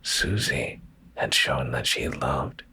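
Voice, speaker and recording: A man speaking in a soft, hushed, soothing ASMR style, reading slowly like a bedtime storyteller, close to the microphone. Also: expressive, slight background noise, rough recording. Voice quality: very deep, gravelly, breathy near-whisper.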